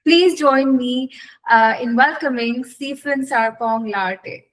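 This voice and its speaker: Woman host doing a formal interview, kinda high voice, measured scripted questions. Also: poor audio.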